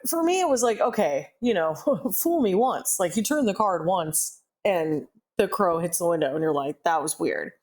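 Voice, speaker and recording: A woman with a fairly low voice co-hosts a podcast in casual chat. She speaks earnestly and keeps stopping to clarify and soften what she means. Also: lively intonation, moderate pace, hardly any pauses. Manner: earnest, explaining herself, hedging. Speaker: podcast co-host.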